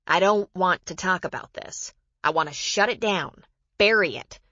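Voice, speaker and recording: A woman's voice: fairly low pitch, moderate pace, pushed and bright, no room sound, muffled recording. A woman narrator voicing an embarrassed, bitter mother in an audiobook, confessional and self-reproachful. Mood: defensive. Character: embarrassed, bitter mother confiding about a con man.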